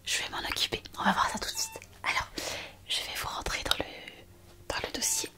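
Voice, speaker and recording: A woman playing a kind, eager young hospital intern in an ASMR roleplay, speaking in a soft, breathy whisper with an attentive, hesitant manner. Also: brisk, natural voice. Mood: helpful, attentive. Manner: attentive, hesitant, gently reassuring. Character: kind, eager, chatty young female hospital intern on her first night shift.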